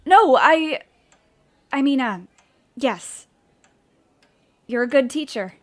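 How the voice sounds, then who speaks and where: A woman's voice: high-pitched, animated, takes it slowly. A woman playing an eager, polite young woman writer in an audio drama, earnest and easily flustered. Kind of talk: audio drama acting with letter narration. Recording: music behind the voice. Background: music.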